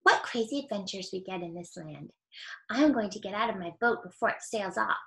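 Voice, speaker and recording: A woman voicing a kind young girl in a read-aloud children's story, gentle and wondering. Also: medium pitch, lively intonation, brisk, creaky.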